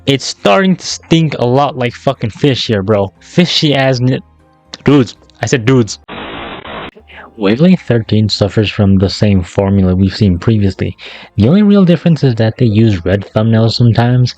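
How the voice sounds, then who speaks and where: A man's voice: medium pitch, expressive, normal speed, darkish tone, faint music behind, rough recording. A man narrating a casual scripted YouTube commentary in a sarcastic, slangy, profane way. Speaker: YouTube video essayist.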